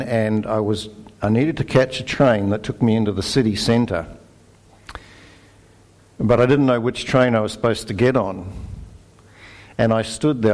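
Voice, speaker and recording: Australian man, middle-aged, low voice; easygoing preacher who stumbles and restarts. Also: creaky, darkish tone. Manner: conversational, self-deprecating, with fillers and restarts. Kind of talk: sermon.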